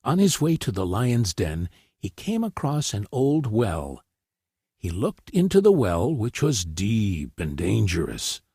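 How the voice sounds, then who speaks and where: A man's voice: fairly low pitch, huge pitch swings, takes it slowly, dark tone, breathy edge, dry recording, studio quality. A man narrating scripted fairy tales for children as a storyteller.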